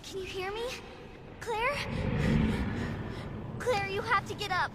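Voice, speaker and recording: A fearful little girl in a horror game, pleading as she talks. Her voice is tiny and extremely high, with slow delivery. Loud ambient noise surrounds it. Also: fairly even intonation. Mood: frightened, urgent. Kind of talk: cinematic game voice acting.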